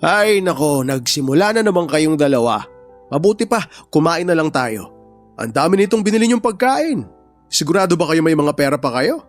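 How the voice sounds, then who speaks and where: A man's voice: on the high side, very animated, normal speed. A man playing an affectionate, joking father of two sons in a family radio drama. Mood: amused. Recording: faint music bed under voice.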